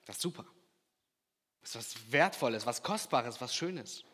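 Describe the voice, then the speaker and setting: A man from Kazakhstan preaching a sermon, swinging from loud emphatic lines to quiet, hushed asides. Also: medium pitch, animated, moderate pace, slightly rough. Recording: long hall reverb.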